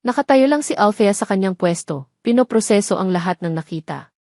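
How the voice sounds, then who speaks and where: A woman's voice: mid-range voice, animated, brisk, a little mellow, dry recording, clean studio sound. A woman with a Filipino accent reading a scripted dramatic story as its storyteller.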